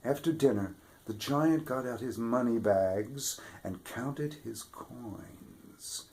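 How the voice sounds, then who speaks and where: A man reading a children's fairy tale aloud with expressive delivery, dropping to whispers for suspense. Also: mid-range voice, slow, slight background noise. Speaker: storybook reader, theatre regular. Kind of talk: children's story read-aloud.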